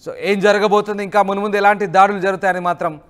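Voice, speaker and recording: Guy doing news commentary, pretty high voice, urgent n dramatic. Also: little pitch movement, quick pace, a bit bright, clear, resonant tone. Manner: dramatic, urgent, repeats rhetorical questions. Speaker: news anchor. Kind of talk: live news commentary over footage.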